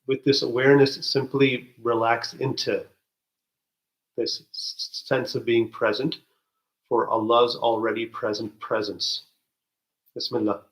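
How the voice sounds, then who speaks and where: Slow, low-voiced man guiding meditation. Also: level tone, rough recording. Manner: slow, gentle, halting with soft restarts. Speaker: meditation guide. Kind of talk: guided spiritual meditation.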